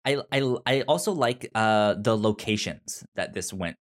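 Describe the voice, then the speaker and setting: A man with a stutter, talking casually and haltingly as he co-hosts a podcast discussion. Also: on the low side. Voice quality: stutter, repeating sounds and syllables. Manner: halting, searching for words. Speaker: podcast co-host reviewing an audio drama.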